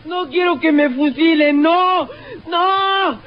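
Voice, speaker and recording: A woman's voice: extremely high pitch, slow, heavy background noise. A woman playing a frightened, guilty little boy in an old radio drama, plaintive and childlike. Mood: frightened, panicked. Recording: dull lo-fi old radio recording.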